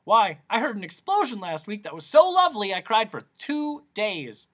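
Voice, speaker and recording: A man's voice: sits high, sing-song, moderate pace, fairly bright tone, voice pushed up, heavily acted. A man voicing a bellowing male smog monster, childish and moody, who roars at his own puns. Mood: anguished. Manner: roaring, laughs at own puns.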